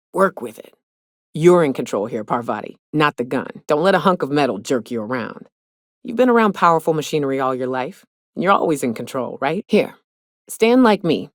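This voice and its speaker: An actress playing a hard-drinking, trigger-happy but loyal frontier mercenary in a video game, with folksy, salty, sardonic phrasing. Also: female voice, sits low, no echo, clean studio sound. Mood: steady, blunt. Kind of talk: video game character dialogue.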